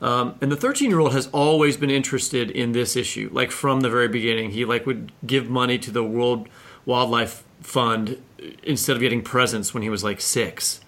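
A man's voice: medium pitch, normal speed, fairly bright tone. A middle-aged man co-hosting a podcast in a casual, informal way, telling anecdotes and acting out bits of dialogue. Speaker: podcast co-host, writer. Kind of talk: casual, conversational interviewing.

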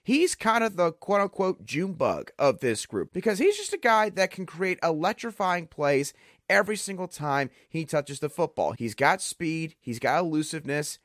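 A hoarse man hosting a solo sports podcast, breaking down players in an emphatic, conversational way. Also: fairly high pitch, fairly bright tone, no room sound, studio quality. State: hoarse, under the weather. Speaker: podcast host.

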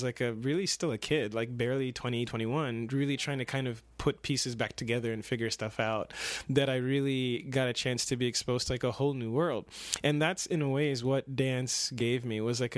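A man's voice: mid-range voice, steady tone, normal speed, no room sound. A young man from Kenya, an actor and dancer, talking through his path in a casual interview, rambling with many restarts and laughs.

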